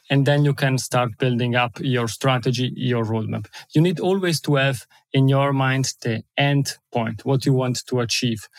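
A man's voice: medium pitch, dry recording. Enthusiastic man with an Italian accent. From Italy and Switzerland. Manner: enthusiastic, repeats words, laughs often. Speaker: guest. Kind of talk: interview.

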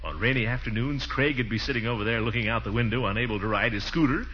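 A man's voice: mid-range voice, fast talker, natural voice. A man playing a jovial, blustery middle-aged uncle in a 1940s radio comedy, with hearty, chuckling delivery. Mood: jovial, eager. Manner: chuckling, hearty, exaggerated swoops. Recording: noisy, muffled 1940s radio recording.

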